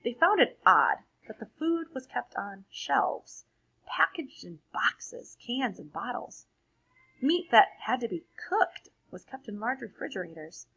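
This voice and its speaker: A woman reading a storybook aloud expressively for children, like a teacher pausing to explain things to young listeners. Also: a little high, sing-song, vocal fry, bright, forceful tone, husky, highs cut off.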